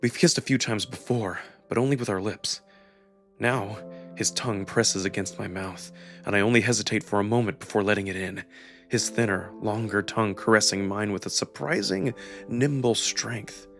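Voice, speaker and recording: A man performing dramatic first-person narration of a visual novel in an intimate, expressive storytelling manner. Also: lowish voice, moderate pace, soft background music.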